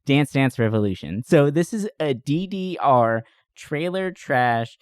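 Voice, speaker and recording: A young adult man hosting a podcast, recapping movies in a casual, comedic way with animated storytelling, swearing and slangy asides. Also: medium pitch, a little slow, clear, resonant tone, no echo, clean studio sound. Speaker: podcast host.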